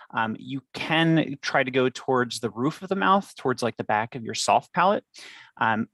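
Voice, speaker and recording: A man's voice: medium pitch, fairly bright tone, no echo. A man explaining how to use a device step by step in an instructional presentation.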